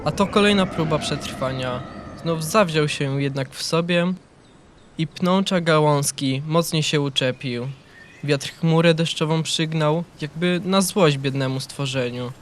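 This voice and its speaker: A man reading a scripted children's story as narrator, in an expressive literary storytelling manner. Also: on the high side, measured pace, a little hiss or hum.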